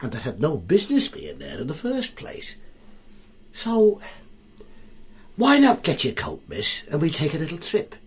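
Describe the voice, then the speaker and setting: An actor playing a wry, bookish, world-weary detective inspector in a radio drama, with a dry, pompous turn of phrase. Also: male voice, fairly high pitch, huge pitch swings, unhurried, pauses often, husky, exaggerated voice. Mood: wry, musing. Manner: dry, pompous, quote-laden turns of phrase.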